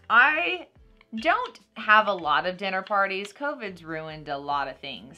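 Bright-voiced Texan woman, gushing and animated. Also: mid-range voice. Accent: Texan American. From Texas, United States. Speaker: unboxing video host. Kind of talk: casual product unboxing chat. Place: small decorated room at a table, indoors.

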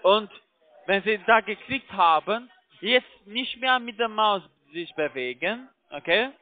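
A man with a foreign accent, not a native speaker, giving halting step-by-step instructions as a call center agent. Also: high-pitched, lively intonation, no room sound. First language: not German. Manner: halting, broken grammar. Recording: tinny narrow phone line.

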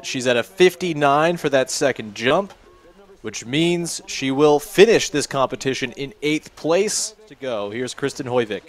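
A man's voice: medium pitch, measured pace. Man calling a ski contest live. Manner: steady, informative, reads out scores. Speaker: play-by-play commentator. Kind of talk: live sports commentary.

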